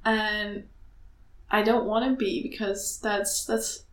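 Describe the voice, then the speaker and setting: A woman's voice: medium pitch, little pitch movement. Earnest young woman, roomy sound. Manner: earnest, passionate, halting with many restarts. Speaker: student activist. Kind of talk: candid conversation. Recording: echoey room.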